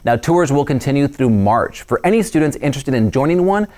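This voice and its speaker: A man's voice: medium pitch, expressive, brisk, darkish tone. Upbeat male news show host. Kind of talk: scripted news presenting.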